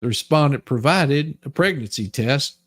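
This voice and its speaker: A man giving a mock dramatic reading with crude comic asides, in a halting, folksy, stammering delivery. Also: medium pitch, animated, a bit bright. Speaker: livestream host.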